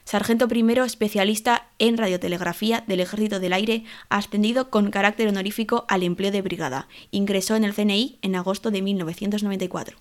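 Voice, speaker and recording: A woman reading out short formal biographies in an even, formal recitation. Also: mid-range voice, quick pace, hardly any pauses. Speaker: reader of memorial biographies. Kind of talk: scripted reading.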